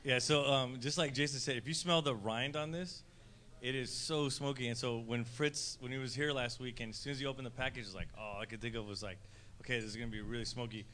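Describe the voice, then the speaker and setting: A man co-hosting a live tasting, describing a smoky cheese in a quick, casual way. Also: medium pitch, fairly even intonation, pushed and bright, slight background noise. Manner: quick, casual, filler-heavy. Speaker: co-host.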